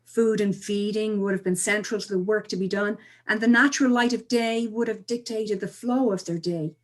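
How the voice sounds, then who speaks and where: A woman giving measured, articulate, explanatory answers as an interview guest. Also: medium pitch, steady tone. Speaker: interview guest, cultural speaker.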